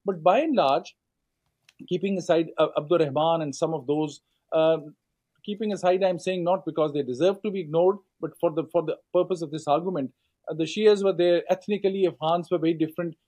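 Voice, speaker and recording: Pakistani guy in an interview, kinda high voice, soft mellow tone, lots of uhs and restarts. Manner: measured, explanatory, many fillers and restarts. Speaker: author and scholar guest. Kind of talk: interview answer, lecturing.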